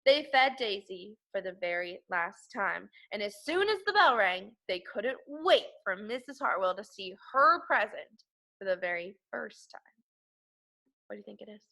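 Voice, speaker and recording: A woman reading a children's picture book aloud like an elementary school teacher, with expressive, emphatic narration aimed at young children. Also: mid-range voice, normal speed, creaky. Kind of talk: animated children's storybook read-aloud.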